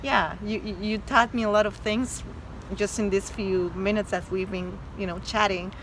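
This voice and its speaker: A woman's voice: mid-range voice, steady tone, normal speed, slight background noise, low-quality recording. A woman from Mexico with a Mexican Spanish accent, a doctor talking earnestly and emphatically as a guest, with many fillers and restarts. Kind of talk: casual interview chat.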